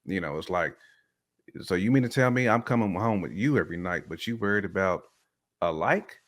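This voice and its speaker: Casual, slightly deep-voiced man. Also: animated, fairly quick. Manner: informal, slangy, emphatic with acted-out quotes. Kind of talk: casual chat, opinionated commentary.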